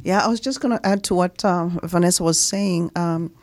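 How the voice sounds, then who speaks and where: A middle-aged woman from Zambia, a guest mother telling her life story in a relaxed interview, often adding "right?" and "you know". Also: fairly low pitch, moderate pace, no room sound.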